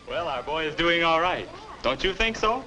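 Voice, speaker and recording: A man's voice: a little high, expressive, normal speed, bright, forceful tone, faint music behind. An American actor in his twenties playing a patient, encouraging older self instructing a boy in 1950s educational film style. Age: 20s. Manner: instructive, encouraging, neatly spoken. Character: patient, upbeat 21-year-old older self mentoring a boy. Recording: noisy old 1950s film soundtrack.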